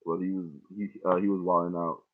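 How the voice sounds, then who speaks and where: A man's voice: sits low, little pitch movement, fairly quick. A young man casually breaking down his own song lyrics line by line, rambling and swearing freely. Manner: loose, rambling, heavy casual profanity. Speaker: rapper explaining his lyrics. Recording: tinny phone-like audio.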